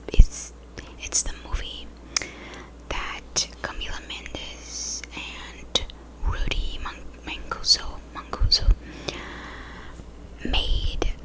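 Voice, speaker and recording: A woman whispering softly and breathily in a casual, rambling ASMR chat. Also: low-quality recording. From Brazil. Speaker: ASMR video creator.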